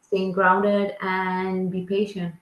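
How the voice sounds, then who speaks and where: Slow-speaking woman from Sri Lanka, echoey call. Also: medium pitch, flat delivery, dull audio, crowd noise. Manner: slow, searching, with non-native grammar. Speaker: guest. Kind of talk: casual interview answers.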